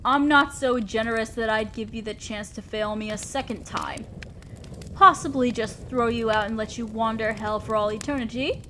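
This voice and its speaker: High-voiced, imperious demon lady boss, acted by a woman. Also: fairly even intonation, normal speed, fairly bright tone, a little hiss or hum, lightly acted. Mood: stern, threatening. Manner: commanding, condescending, scolding. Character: imperious female demon lord, stern, exacting boss of hell. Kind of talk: scripted roleplay audio drama monologue.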